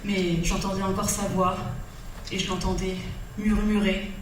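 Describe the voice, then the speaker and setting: Woman, theatrical stage monologue, slow delivery, echoey hall, heard from afar. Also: medium pitch, level tone, far from the mic, background noise. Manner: theatrical, breaks into song. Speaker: stage performer. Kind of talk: comic storytelling monologue with songs.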